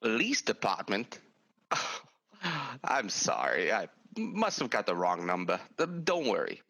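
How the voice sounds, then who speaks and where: A man's voice: fairly low pitch, wildly swooping pitch, slow, gravelly, pushed and bright, full character voice. A man acting in a 1940s-style suspense radio play, sinister and composed as its narrator.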